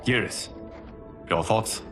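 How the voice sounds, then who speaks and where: An actor playing a solemn, commanding guardian god in a game cutscene, speaking formally and with measured diction. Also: male voice, over music, close to an everyday voice. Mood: solemn, searching. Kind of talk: dramatic game cutscene acting. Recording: dramatic score behind the voice.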